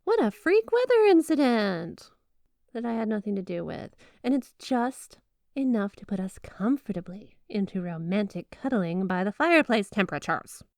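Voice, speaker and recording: A woman's voice: mid-range voice, very animated, normal speed, darkish tone, dry recording. Woman acting a flirty, clingy snow spirit wife, close-up. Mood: coy, mock-offended. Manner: coy, teasing, mock-offended, doting. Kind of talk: roleplay ASMR, scripted intimate monologue to the listener.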